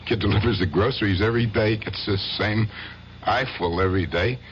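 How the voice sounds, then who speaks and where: A man playing a calmly menacing killer client. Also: lowish voice, fairly quick, lightly acted. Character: menacing husband, cheerfully confessed killer. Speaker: acquitted client. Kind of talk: 1970s radio drama acting. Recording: dull lo-fi radio broadcast with faint hiss.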